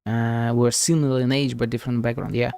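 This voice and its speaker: A man's voice: mid-range voice, flat delivery, a little fast, a little mellow, clean tone. Sweary male game streamer thinking aloud. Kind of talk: casual live reaction commentary.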